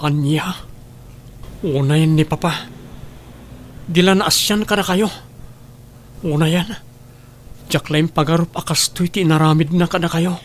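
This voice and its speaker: A respectful, concerned young man of the family played by a male actor in an Ilocano drama. He has a somewhat high male voice with an earnest, apologetic way of speaking. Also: fairly even intonation, measured pace, pauses often, slight background noise, natural voice. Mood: shocked, apologetic. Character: dutiful adult son, apologetic and concerned for poor neighbours. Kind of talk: Ilocano radio-style family drama acting.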